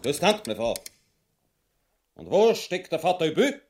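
Animated army captain. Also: male voice, fairly high pitch, measured pace. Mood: hearty, agreeing. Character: army captain, a guest at a grotesque banquet. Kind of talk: grotesque stage comedy acting.

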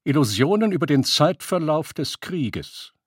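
A man's voice: fairly high pitch, very animated, measured pace, breathy edge. A man reading scripted literary narration as a sober storyteller.

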